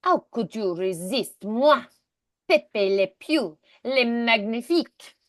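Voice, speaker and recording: A woman voicing an amorous, flamboyant male cartoon skunk with an exaggerated French accent, nasal vowels and drawn-out seductive phrasing. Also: fairly high pitch, expressive, no echo, clean studio sound.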